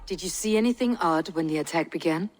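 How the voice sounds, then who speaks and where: An actress playing a probing, reserved Viking warrior investigator in a video game cutscene. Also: female voice, fairly low pitch, quick pace, darkish tone, slight background noise, close to an everyday voice. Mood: grave, questioning.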